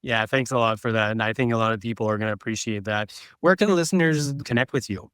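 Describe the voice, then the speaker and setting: A man's voice: lowish voice, fast, a bit bright, clean tone, dry recording, studio quality. A man hosting an interview, putting questions to a guest in a fairly formal style. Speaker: interview host.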